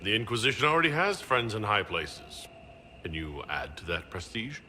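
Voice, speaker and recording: A man voicing a measured male leader in a video game cutscene, asking calm, skeptical questions. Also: lowish voice, a little hiss or hum, full character voice.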